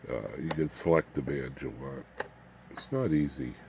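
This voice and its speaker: A man giving an informal, unscripted hands-on demonstration as a hobbyist, haltingly, muttering asides to himself as he works. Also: extremely low pitch. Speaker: hobbyist radio builder demonstrating his equipment. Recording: clear background noise, room ambience.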